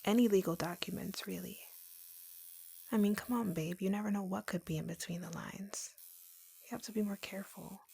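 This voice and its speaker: Woman doing a yandere girlfriend roleplay, young obsessed lovesick girl, super croaky breathy near-whisper, soft and coaxing but creepy possessive. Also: medium pitch, steady tone, brisk. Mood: teasing, coaxing. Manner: gentle, coaxing, sweetly menacing.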